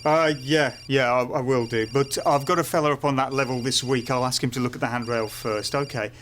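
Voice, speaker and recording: An actor playing a busy, harried maintenance supervisor, brisk and managerial, in a naturalistic training film drama. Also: male voice, mid-range voice, fairly even intonation, fairly bright tone, slight background noise, close to an everyday voice. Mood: distracted.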